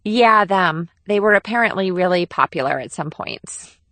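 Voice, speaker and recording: A breezy teenage girl talks in a casual, offhand way, voiced by a male narrator. Her pitch sits above his own, and the recording is dry. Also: expressive, moderate pace, pushed and bright, no room sound.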